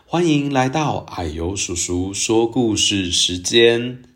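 Slow, low-voiced male children's storyteller. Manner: gentle, expressive address to young children. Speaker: children's storytelling podcast host.

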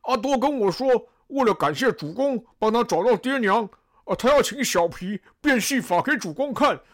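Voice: medium pitch, animated, moderate pace, close to an everyday voice. A voice actor playing Xu Chu, a loyal, cheerful, burly bodyguard who coaxes his lord in a sing-song way. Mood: cheerful coaxing. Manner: eager, coaxing, sing-song. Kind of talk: audio drama acting.

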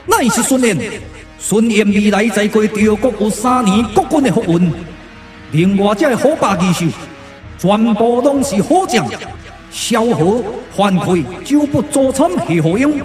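A man with a Taiwanese accent voicing a boastful, scheming immortal warlord in a theatrical puppet radio drama, declaiming in drawn-out phrases. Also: sits high, animated. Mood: alarmed, then resigned. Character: menacing immortal warlord villain, boastful, scheming, easily thwarted. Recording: echoey reverb with music behind.

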